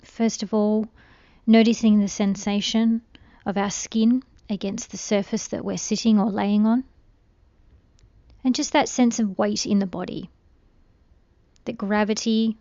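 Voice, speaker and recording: A woman guiding a meditation in a gentle, soothing, unhurried way. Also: medium pitch, fairly even intonation, no room sound, dull audio. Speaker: meditation guide. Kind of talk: guided meditation.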